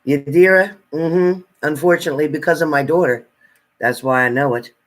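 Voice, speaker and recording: A man with a somewhat high voice talks casually on a livestream. As a tarot reader, he greets and thanks viewers by name, gives friendly advice, and laughs often. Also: normal speed. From New Jersey.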